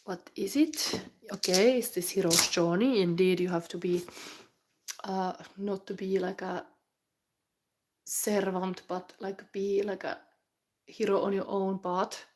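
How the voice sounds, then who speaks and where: A woman's voice: medium pitch, fairly even intonation, measured pace, a little mellow, slight background noise. A woman from Finland with a Finnish accent, giving a live tarot reading while down with the flu, rambling with fillers and restarts and sometimes dropping into a whisper. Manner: rambling, thinking aloud with many fillers and restarts; drops into whispers while channeling. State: has the flu. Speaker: tarot reader.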